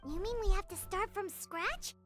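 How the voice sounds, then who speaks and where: A woman voicing a tiny, excitable female fairy companion in a video game, with a high, squeaky, bouncy manner. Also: moderate pace, soft background music. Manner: bouncy, exclamatory, refers to herself in third person.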